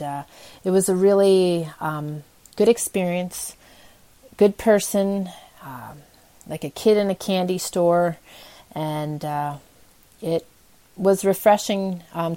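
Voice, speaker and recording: Low-pitched woman, encouraging podcast host. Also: mellow. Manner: earnest, encouraging. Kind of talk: casual motivational podcast talk.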